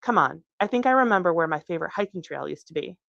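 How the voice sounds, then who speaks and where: A woman's voice: fairly low pitch, lively intonation, fast talker, vocal fry, fairly bright tone, no room sound, lo-fi sound. A woman reading a novel, voicing an eager, breezy man with a cheerful, coaxing manner. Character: eager, breezy male hiking companion.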